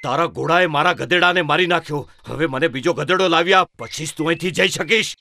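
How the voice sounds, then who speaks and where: A stubborn, argumentative villager in a cartoon who defiantly demands his due. His voice sits a little high and sounds dry and close. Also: a little fast, gravelly, sharp tone, dry recording. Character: stubborn quarrelsome male donkey owner. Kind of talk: cartoon storybook dub.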